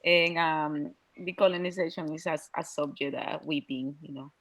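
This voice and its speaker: A woman introduces herself informally as a craft producer. Her voice is on the low side, and the sound is dry with little echo. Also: steady tone, normal speed. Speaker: chocolate maker. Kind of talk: casual self-introduction.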